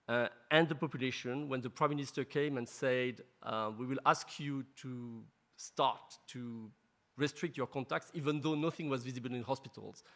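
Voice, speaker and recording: Mid-pitched man, a scientist speaking publicly. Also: a bit bright. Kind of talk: spoken answer at a science event.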